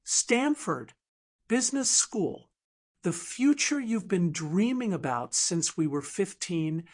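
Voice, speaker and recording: Woman voicing a soft-spoken, slow, tender middle-aged man. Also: medium pitch.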